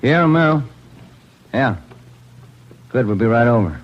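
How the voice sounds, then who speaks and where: An actor playing a steady, plain-spoken police detective partner in a 1940s radio drama. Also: male voice, mid-range voice, a little slow, natural voice. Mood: businesslike. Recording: muffled, noisy old radio recording.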